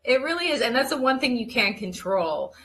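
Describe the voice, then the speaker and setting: A woman's voice: on the high side, sing-song, brisk, fairly bright tone. Chatty enthusiastic woman hosting a show. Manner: enthusiastic, chatty, rambling storytelling. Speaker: host. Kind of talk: hosted interview with guest introduction.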